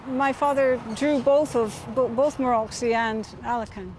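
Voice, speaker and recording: Higher-voiced woman calmly explaining old houses outside, light street noise. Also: moderate pace, poor audio. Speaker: interviewee. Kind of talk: casual tour-guide explanation.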